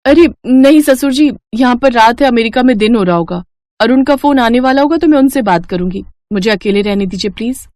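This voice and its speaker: A woman's voice: fairly high pitch, animated, fairly quick, a little mellow, no echo, close to an everyday voice. A woman voicing a naive young bride in a cartoon, earnest and flustered. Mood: exasperated. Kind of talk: cartoon dubbing.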